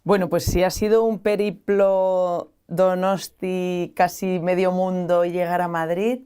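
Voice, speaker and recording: A woman's voice: fairly low pitch, little pitch movement, slow pace, dark tone, clean tone. A woman from San Sebastián with a strong Basque Spanish accent, a guest in a studio interview who lays out long, reflective arguments. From San Sebastián, Spain. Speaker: guest, association founder.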